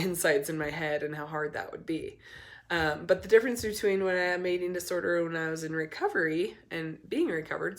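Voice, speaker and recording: A young adult woman, hoarse and raspy from a cold, talking candidly to camera as a recovery coach, with many ums and restarts. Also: low-pitched. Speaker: eating disorder recovery coach. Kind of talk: casual talk to camera.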